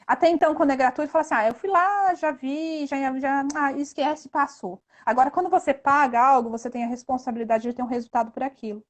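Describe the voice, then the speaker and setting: A woman's voice: high voice, fairly even intonation, fast talker, few pauses. A Brazilian woman speaking as an interview guest, explaining things earnestly and conversationally, often ending phrases with a tag question. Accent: Brazilian Portuguese. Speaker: interview guest, investment instructor.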